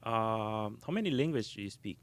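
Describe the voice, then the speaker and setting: A man, a podcast host from Brazil whose first language is Portuguese, asking friendly, halting questions. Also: fairly low pitch, lively intonation, dry recording. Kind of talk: casual interview.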